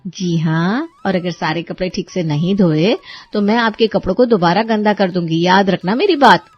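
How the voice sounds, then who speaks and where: A woman voicing a bossy, sharp-tongued young bride in a cartoon story, commanding and sarcastic. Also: fairly low pitch, normal speed, lo-fi sound, lightly acted.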